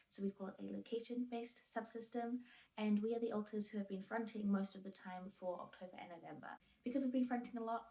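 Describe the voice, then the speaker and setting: A woman in her twenties giving plain, measured self-introductions in an interview-style video, often trailing off softly at the ends of phrases. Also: medium pitch, rough recording. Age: 20s. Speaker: video creator.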